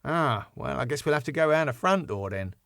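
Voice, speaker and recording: A cheeky comic lad, performed by a man reading a sitcom script, talking fast and breezily. The voice sits above a middle pitch and bluffs along in loose, colloquial phrases. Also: animated, creaky, fairly bright tone, no room sound, studio quality. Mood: breezy, cheeky. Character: Dave, cheeky glib quick-thinking British lad.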